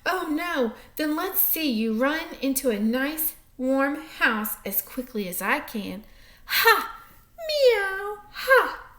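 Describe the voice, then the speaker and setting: A woman's voice: high-pitched, very animated, slow pace, pushed and bright, pitched above the performer's own voice, heavily acted. A woman voicing a haughty female cat who scoffs and meows at the tortoise. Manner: meowing, scornful laugh. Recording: echoey room.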